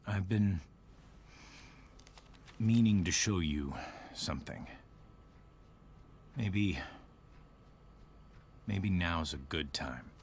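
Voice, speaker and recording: A man playing an earnest, contrite middle-aged father figure in a video game, speaking in a halting, hesitant way. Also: deep voice, expressive, a little mellow, a little hiss or hum, heavily acted. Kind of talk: naturalistic video game voice acting.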